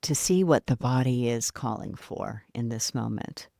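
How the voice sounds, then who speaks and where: A woman leading a guided yoga nidra relaxation in a soft, soothing manner, leaving long pauses between short phrases. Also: very deep voice, creaky, dry recording.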